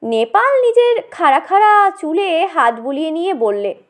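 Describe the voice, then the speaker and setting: High-pitched, animated woman storyteller. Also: moderate pace. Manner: dramatic, conversational, addresses listener directly. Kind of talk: animated comic audiobook narration.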